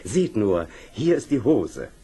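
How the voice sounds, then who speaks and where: Showy flattering swindler with swooping intonation. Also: male voice, lowish voice, slow, husky, full character voice. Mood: boastful, coaxing. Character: smooth-talking swindler tailor flattering an emperor. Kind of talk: German fairy tale audio play acting.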